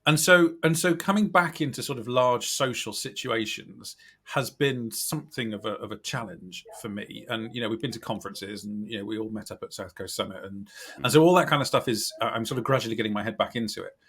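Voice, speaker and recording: A British man with a slightly scratchy, croaky voice, chatting casually as a podcast guest in a wry, self-deprecating, animated way. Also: lowish voice, brisk. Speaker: podcast guest, recruitment company director. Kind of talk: casual chat.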